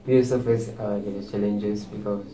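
A man's voice: on the low side, steady tone, normal speed, dark tone. A young man, speaking hesitantly and repeating words in a student discussion. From Malaysia. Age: young adult. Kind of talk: student group reflection discussion. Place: indoor meeting room at a table. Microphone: far camera. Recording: distant echoey room with noise.

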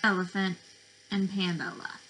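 A young adult woman reading interactively to small children as a teacher, expressive and sing-song, with questions and pauses. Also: medium pitch, low-quality recording. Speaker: speech-language pathologist presenting to preschoolers. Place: bedroom, indoors.

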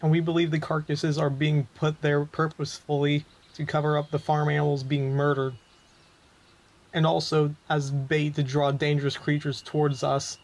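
A man's voice: fairly high pitch, flat delivery, normal speed, darkish tone, close to an everyday voice. A man playing a hostile, unyielding townsman in a fantasy roleplay audio drama, blunt and threatening. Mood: accusing.

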